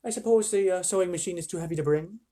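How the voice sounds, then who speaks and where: A parent reading a storybook aloud, voicing a brisk, motherly female beaver who calls others dearie. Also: medium pitch, dark tone, a little hiss or hum, voice pushed up, close to an everyday voice. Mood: wondering.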